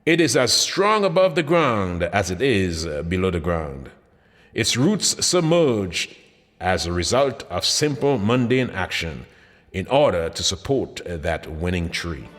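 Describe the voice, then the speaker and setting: A man reading his own book aloud in a sermon-like cadence, phrases rising then dropping low, with occasional "uh" fillers. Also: very animated, unhurried, fairly bright tone, long reverb tail. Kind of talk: audiobook narration.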